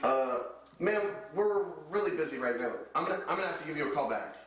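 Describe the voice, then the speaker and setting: A man's voice: fairly high pitch, expressive, fast talker, fairly bright tone. A man playing a sensible young amateur ghost hunter in a student TV sitcom, with a dry, hesitant, exasperated manner. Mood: flustered. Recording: roomy, distant mic with music behind.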